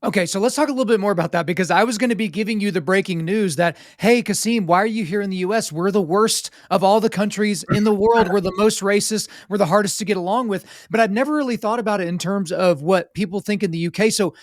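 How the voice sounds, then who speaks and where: A man's voice: high voice, quick pace, hardly any pauses, no echo, studio quality. A man hosting an interview podcast, eager and outspoken, asking long rambling questions. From United States. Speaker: podcast host. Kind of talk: conversational interview.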